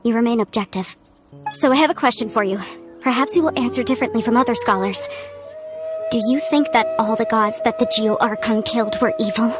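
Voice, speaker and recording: A woman's voice: a little high, brisk, lightly acted. A woman playing an earnest scholar of archaeology in a video game, speaking courteously and carefully. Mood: polite, earnest. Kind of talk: scripted video game dialogue. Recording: music under the voice.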